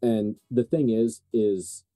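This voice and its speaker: A man with a very dark, soft-edged, lowish voice narrates a tabletop horror game. He improvises as game master in deliberate, halting phrases, with faint music underneath. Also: steady tone.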